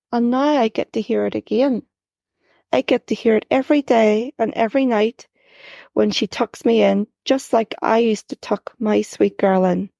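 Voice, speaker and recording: A woman author reading her first-person story in a calm, reflective way. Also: on the high side, vocal fry, dry recording.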